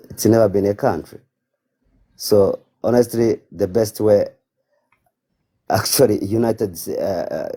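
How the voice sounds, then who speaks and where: A man speaks as a caller on a live stream. His voice is very low and extremely dark and mellow. He talks off the cuff, haltingly, searching for words in non-fluent phrasing. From Africa. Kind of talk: casual, unscripted political talk.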